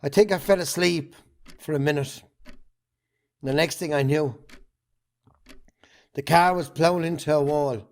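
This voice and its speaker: A man with an Irish accent acting a defensive, guilt-ridden farming man in an amateur drama, speaking haltingly. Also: fairly high pitch, a little mellow. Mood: halting, remorseful.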